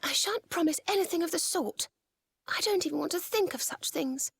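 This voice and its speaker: An actress voicing a gentle, polite young woman in a video game, speaking in prim phrases with faint rain behind her. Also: very high-pitched, moderate pace, vocal fry. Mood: upset, refusing. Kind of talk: dramatic video game voice acting.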